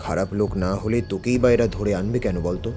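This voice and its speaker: A man playing a calm, shrewd, fearless older detective in an audio story, composed and reassuring. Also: on the low side, fairly quick, over music, natural voice. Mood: probing. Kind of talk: Bengali audio story acting.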